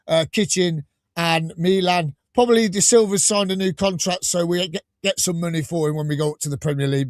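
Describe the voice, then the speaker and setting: A man hosting a live fan podcast, enthusiastic and rambling, a little croaky from a cold. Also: fairly high pitch, dry recording, clean studio sound. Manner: enthusiastic, rambling, laughs often. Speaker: podcast host.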